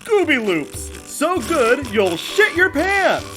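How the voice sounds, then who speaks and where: A performer voicing a peppy, exaggerated cereal pitch character in a parody children's commercial. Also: big pitch swings, slow, loud music behind the voice, heavily acted. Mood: peppy, salesy. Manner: upbeat, exaggerated salesy delivery.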